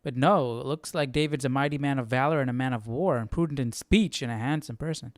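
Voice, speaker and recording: A man's voice: mid-range voice, fast talker, no room sound, clean studio sound. A man hosting a Bible study stream, musing aloud conversationally and casually checking for agreement. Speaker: Bible study livestream host. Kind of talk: casual reaction and commentary.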